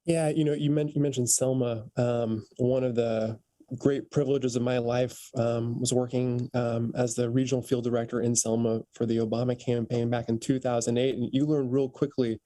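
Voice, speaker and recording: A man's voice: medium pitch, flat delivery, normal speed, mellow, dry recording. An American man interviewing a guest in a conversational way, feeling out his phrasing as he goes.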